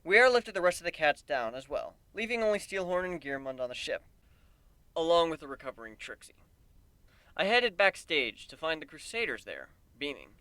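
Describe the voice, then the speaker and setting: Animated, bright-voiced man narrating a story. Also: on the high side, normal speed, smooth, no room sound. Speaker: amateur story reader. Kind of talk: dramatic first-person story narration.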